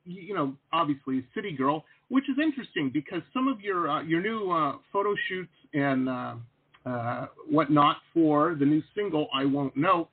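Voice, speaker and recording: A middle-aged man hosting a casual interview, rambling and animated, with lots of fillers. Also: fairly high pitch, moderate pace, husky. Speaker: host. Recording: phone-like lo-fi line.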